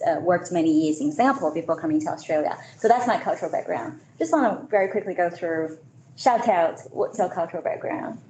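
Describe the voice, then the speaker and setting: A woman's voice: on the low side, expressive, fairly quick, a little mellow. Woman presenting in an echoey room. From China and Malaysia. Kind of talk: informal business presentation. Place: meeting room, hybrid event. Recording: echoey room, faint noise.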